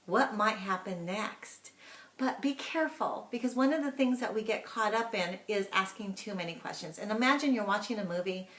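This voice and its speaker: A woman speaking as a teacher, giving instructional tips to parents in an animated, emphatic manner. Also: medium pitch, normal speed. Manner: animated, emphatic, acts out example questions. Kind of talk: instructional talk to camera. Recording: echoey room sound.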